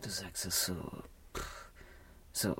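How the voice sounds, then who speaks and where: An actress in a radio play voicing a volatile, provocative gangster's girl, husky, halting and scornful. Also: very deep voice, fairly even intonation, pushed and bright, exaggerated voice. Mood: coaxing. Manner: halting, scornful, breaks off mid-sentence.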